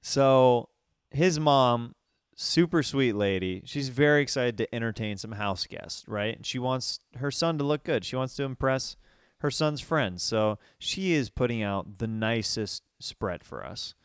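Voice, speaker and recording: Smooth, dry-voiced man telling a story. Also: mid-range voice, a little slow, no echo. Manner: animated storyteller, mimics voices in quotes. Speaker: podcast host. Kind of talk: casual storytelling chat.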